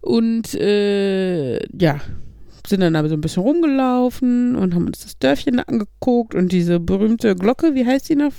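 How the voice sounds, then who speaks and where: A man's voice: sits high, animated, normal speed, vocal fry, mellow, clean tone, no echo, studio quality. A young man chatting casually as a podcast co-host, telling rambling anecdotes with frequent giggles. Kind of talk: casual chat.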